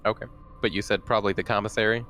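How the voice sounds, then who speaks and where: A man's voice: on the low side, little pitch movement, racing, fairly bright tone, a little hiss or hum. A man talking casually as a player in a tabletop roleplaying game, reasoning aloud and checking his assumptions.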